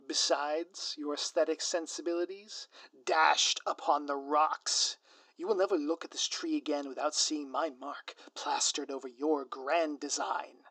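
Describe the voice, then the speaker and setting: A man playing a scheming, theatrical supervillain in a scripted ASMR audio roleplay, with a pompous, florid, drawn-out delivery. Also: a little high, steady tone, bright, forceful tone, no echo, heavily acted. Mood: smug, menacing. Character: theatrical scheming male supervillain, gloating, menacing, easily flustered.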